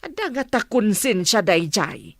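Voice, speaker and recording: A woman's voice: medium pitch, very animated, measured pace, no echo, clean studio sound. A woman with an Ilocano accent playing a concerned, reproachful village mother in a radio drama.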